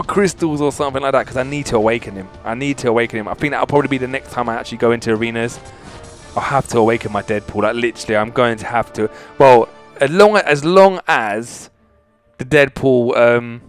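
A young man chatting casually as he plays a mobile fighting game for his channel, excitable and prone to loud exclamations, with faint game music behind him. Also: medium pitch. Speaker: gaming channel host.

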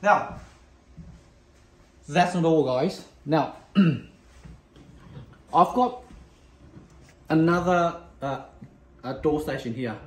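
A man giving an unscripted product demo to viewers, halting and repeating himself as he goes. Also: fairly high pitch, darkish tone. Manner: halting, repetitive, addressing viewers as guys. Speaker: product demonstrator. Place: indoor room, desk demo. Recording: echoey room with background noise.

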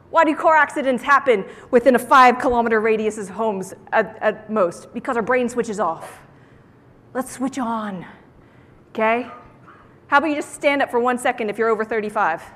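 A woman giving a motivational keynote talk to an audience on stage, with an animated, theatrical delivery and playful emphasis. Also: on the high side, moderate pace. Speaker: keynote speaker. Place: large indoor conference room, on stage. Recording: echoey conference hall sound.

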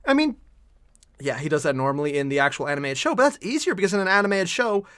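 A man in his twenties giving casual reaction commentary in an excitable, rambling way, stammering as he goes, at home. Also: fairly high pitch, racing, dry recording, clean studio sound. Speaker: reaction video host. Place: small furnished room at home, indoors.

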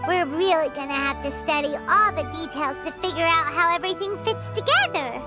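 A woman voicing a tiny, excitable childlike fairy companion in a video game, squeaky and cheeky. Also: brisk. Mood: thoughtful. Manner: bubbly, refers to herself in third person. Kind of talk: video game character dialogue. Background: prominent background music.

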